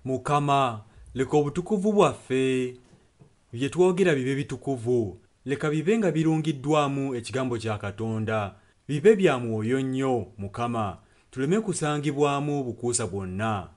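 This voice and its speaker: A man serves as a sermon interpreter. His voice is of average pitch, and he delivers the prayer solemnly, one phrase at a time. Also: normal speed. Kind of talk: consecutive translation of a sermon and prayer.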